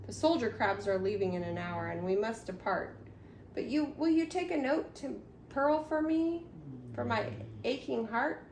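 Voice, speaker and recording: A woman's voice: fairly high pitch, animated, measured pace, a little mellow, recorded from a distance. A woman voicing a lovesick, gallant young male soldier crab who speaks earnest romantic verse in a storybook. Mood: earnest, urgent. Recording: roomy echoey home recording, faint noise.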